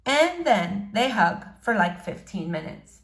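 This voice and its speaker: A woman reading a novel aloud to young listeners in an expressive storybook style, pausing dramatically on key words. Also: medium pitch. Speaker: read-aloud presenter.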